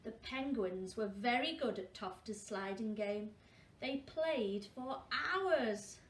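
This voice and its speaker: High, lilting, unhurried woman storyteller. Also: expressive. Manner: questioning asides to young listeners. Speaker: primary school storyteller. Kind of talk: read-aloud storytelling for young children. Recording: roomy, distant mic, faint noise.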